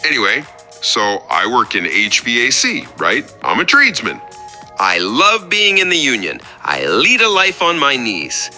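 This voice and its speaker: A man's voice: mid-range voice, wildly swooping pitch, unhurried, bright, forceful tone, faint music behind, heavily acted. A man playing an eager, fawning tradesman who calls a radio doctor, earnest, rambling and oversharing in a comic radio play.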